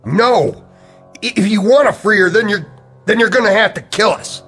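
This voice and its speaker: An actor playing an agitated, earnest man who believes in a dark conspiracy, in a radio-style drama. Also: sits high, normal speed, soft background music. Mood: pleading, agitated. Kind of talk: scripted radio-play acting.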